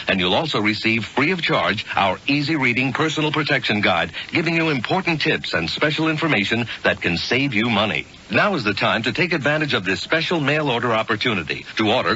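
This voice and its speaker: A man's voice: mid-range voice, bright, forceful tone. An American man reading a scripted radio commercial as an announcer, emphatic and persuasive. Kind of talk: scripted direct-response radio ad read. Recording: dull radio broadcast, faint noise.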